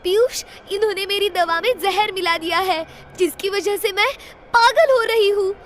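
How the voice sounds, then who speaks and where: A woman's voice: very high-pitched, moderate pace, a bit bright, a little hiss or hum. A woman voicing a frantic young wife who pleads desperately, sounding distant. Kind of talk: Hindi audio story acting.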